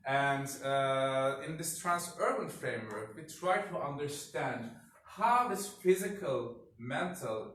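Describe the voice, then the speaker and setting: A man with a non-native English accent giving a conference presentation as a researcher, in a halting manner. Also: medium pitch, a bit bright. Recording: echoey room, distant mic, noisy.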